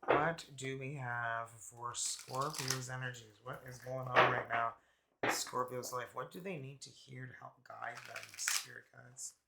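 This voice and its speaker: A man doing a casual, chatty tarot reading, laid-back and quick to laugh, his voice a little raspy from a cold. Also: medium pitch, steady tone, very noisy. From Canada. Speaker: tarot reader.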